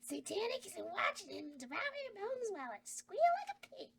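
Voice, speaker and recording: A creepy undead woman who delights in gruesome ideas. The voice is high and lively. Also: moderate pace, full character voice. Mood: gleeful. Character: gleeful creepy zombie woman. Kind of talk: table read of a comedy horror script.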